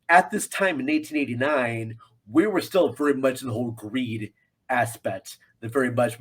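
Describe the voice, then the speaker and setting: A man speaking as a guest reviewer in a casual film discussion, halting and repeating himself for emphasis. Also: medium pitch, slightly rough.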